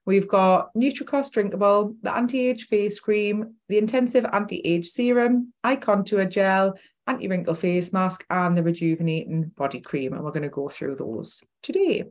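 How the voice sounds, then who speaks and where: A woman's voice: medium pitch, moderate pace. Female webinar presenter, forties, Geordie accent, informal delivery, mid-range voice. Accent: Geordie, Newcastle. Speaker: dentist presenting a product webinar.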